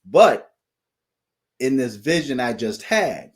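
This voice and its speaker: Slow, deliberate man preaching a warning. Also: mid-range voice, sing-song. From United States. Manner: deliberate, repeats "okay?" as a check-in. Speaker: channel host sharing dreams and visions.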